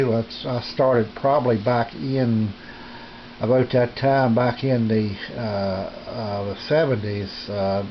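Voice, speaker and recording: A middle-aged or older man giving a casual, unscripted show-and-tell as a hobbyist, with a halting, folksy delivery, recorded on a handheld camera in a small home room. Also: mid-range voice. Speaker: radio hobbyist presenting his equipment. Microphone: handheld camera's built-in microphone. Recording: dull lo-fi camera audio, background noise.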